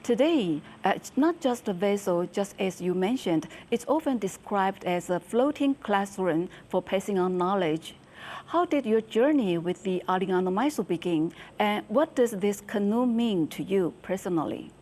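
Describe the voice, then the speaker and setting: A woman hosting an interview, asking a prepared question in a measured, clear way. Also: medium pitch, lively intonation, warm tone. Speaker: interview host.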